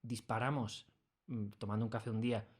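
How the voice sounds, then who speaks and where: A man's voice: lowish voice, flat delivery, brisk, a little mellow, breathy edge. A man, a writer being interviewed in a studio, speaking casually and a little hesitantly as he corrects himself. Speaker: interview guest, audio fiction writer. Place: recording studio.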